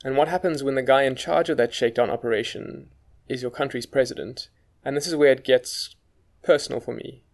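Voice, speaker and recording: A man's voice: medium pitch, fairly quick. Wry South African man narrating. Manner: conversational, wry. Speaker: guest podcast presenter. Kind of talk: first-person scripted narration.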